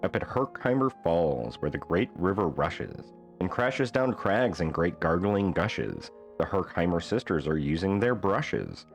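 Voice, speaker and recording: A man reading a rhyming children's bedtime book aloud as an expressive storyteller. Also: deep voice, measured pace, few pauses, soft background music.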